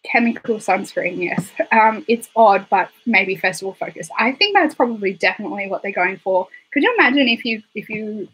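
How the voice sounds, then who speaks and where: This is a woman talking into a desktop mic on a casual beauty livestream. Her voice is husky and hoarse from a recent illness, and her pitch sits in an ordinary middle range. She rambles, trails off and giggles at her own asides. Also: brisk, hardly any pauses, a bit bright, low-quality recording. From Australia. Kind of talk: casual livestream chat reading viewer comments. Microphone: desktop microphone.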